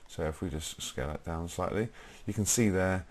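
A young British man presenting a software tutorial, explaining informally step by step in a husky voice. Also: low voice, normal speed. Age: young adult.

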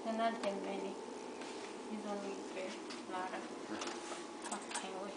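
A woman's voice: mid-range voice, little pitch movement. Older woman cooking in an echoey kitchen. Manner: halting, repeats words, broken phrasing. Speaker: home cook teaching a family recipe. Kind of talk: casual kitchen chat. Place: home kitchen. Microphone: handheld camera across the room. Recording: echoey kitchen from far off, loud noise.

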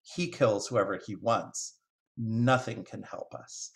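A man's voice: on the low side, slow, gravelly. A man voicing a grumpy, bitter crow who speaks seriously and fatalistically in a storybook reading. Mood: grave. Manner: bitter, grave.

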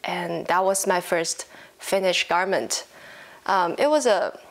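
Female vlogger, 20s, Chinese accent, lowish pitch with vocal fry, informal unscripted chat. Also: slow pace. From China. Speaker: knitting podcast host. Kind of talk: casual chat to camera. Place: living room at home, indoors.

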